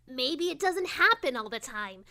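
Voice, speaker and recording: A woman's voice: high voice, sing-song, measured pace, sharp tone, heavily acted. A woman voicing a frank, inquisitive young filly pony in an audiobook. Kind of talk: fan audiobook character acting.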